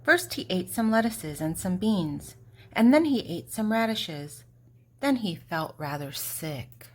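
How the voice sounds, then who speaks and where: An older woman with a slightly low voice reads a story to children. She narrates expressively and deliberately, leaving dramatic pauses and leaning on key words. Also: big pitch swings.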